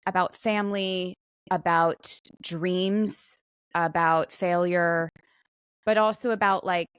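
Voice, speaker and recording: A woman's voice: on the low side, fairly even intonation, slow pace, clean tone, no room sound. A woman co-hosting a podcast in casual, conversational chat, with plenty of "like" and trailing asides. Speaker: podcast co-host; actor.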